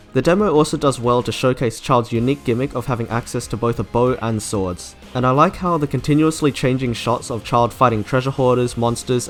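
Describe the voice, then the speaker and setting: Male game reviewer, scripted commentary in a medium-pitched voice, faint music bed. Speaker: video game YouTuber, reviewer.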